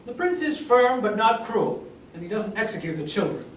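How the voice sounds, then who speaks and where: A man's voice: high voice, sing-song, normal speed, a little hiss or hum. A man voicing a smug, taunting town guard at a table read, in a roomy room with a distant mic. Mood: menacing. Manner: sneering, taunting.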